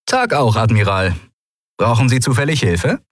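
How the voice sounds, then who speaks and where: A man voicing a cocky young male pirate who talks in a smug, teasing way, with a husky voice. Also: medium pitch, wildly swooping pitch, normal speed, dry recording, studio quality.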